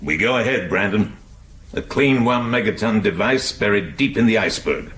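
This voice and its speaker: President, low voice, grave, authoritative, decisive, political thriller on radio. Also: male voice, animated, moderate pace, fairly bright tone, poor audio. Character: sober, decisive male US President. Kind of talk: radio drama acting.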